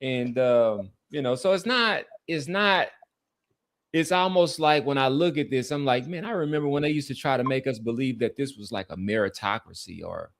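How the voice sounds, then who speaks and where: Musing man with a mid-pitched voice. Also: expressive, moderate pace. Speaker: sports podcast co-host. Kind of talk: casual sports commentary.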